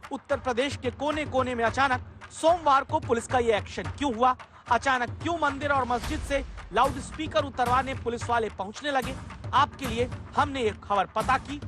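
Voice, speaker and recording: Woman narrating news over loud music. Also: high-pitched. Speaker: news presenter. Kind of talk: dramatic scripted news narration. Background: loud music bed.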